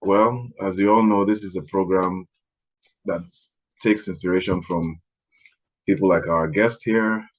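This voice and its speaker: A man hosting a podcast, soft-spoken and hesitant, who often clears his throat and uses fillers. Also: low voice, steady tone, a little slow. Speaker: podcast host. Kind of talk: interview hosting.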